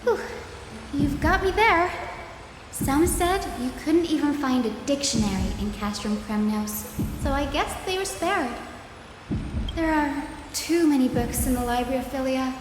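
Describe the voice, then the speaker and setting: A woman playing a lively, teasing young scholar in a video game, chatty and theatrical. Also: sits high, measured pace, background noise. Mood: breezy. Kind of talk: video game character dialogue. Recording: echoey reverberant hall, loud background music.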